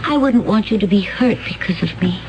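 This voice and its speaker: An actress playing a calm, mysterious woman from another planet, speaking in a solemn, measured way in a 1970s radio drama. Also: medium pitch, background noise, lightly acted.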